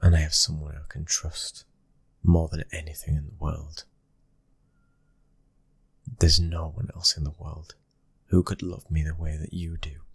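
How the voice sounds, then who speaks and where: Very deep, hushed British man acting a tender husband. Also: monotone, unhurried, pauses often, dry recording, exaggerated voice. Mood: loving, tender. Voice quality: breathy. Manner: hushed, often whispering, gentle and intimate. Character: tender, devoted mafia man, husband and proud father. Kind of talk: soft ASMR boyfriend roleplay.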